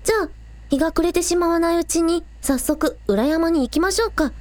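A woman's voice: very high-pitched, brisk, no room sound. A woman playing a spirited, earnest young village idol, polite with superiors and breezy with friends, in a radio drama. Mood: brisk.

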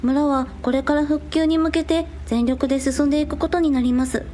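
A woman's voice: high voice, normal speed, soft background music, close to an everyday voice. A young woman playing a bubbly, earnest teenage girl idol in a Japanese audio drama. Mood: earnest reporting. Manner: excitable, cute, earnest.

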